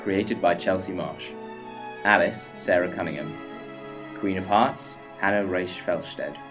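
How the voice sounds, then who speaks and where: Male announcer for drama credits, low-pitched, over prominent music. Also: takes it slowly, rough recording. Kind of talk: scripted credits narration. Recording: loud music under voice.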